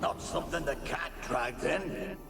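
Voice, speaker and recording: A man's voice: fairly high pitch, measured pace, vocal fry. An actor voicing a ghostly male spirit in a video game, with a lilting, mocking delivery. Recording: echoey ghostly game audio. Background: loud game music.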